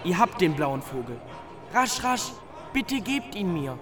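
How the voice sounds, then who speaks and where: Young boy, high voice, earnest, polite, pleading, radio drama over music. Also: sing-song, unhurried, fairly bright tone, heavily acted. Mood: eager pleading. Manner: polite, eager, clearly declaimed. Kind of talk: theatrical German radio play acting. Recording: studio radio play with music behind.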